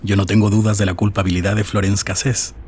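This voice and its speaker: Commanding police boss played by a man, pressuring and quick, faint noise behind, for audio drama. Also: on the low side, level tone, darkish tone, close to an everyday voice. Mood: stern. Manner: authoritative. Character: commanding, pressuring male director of police agency.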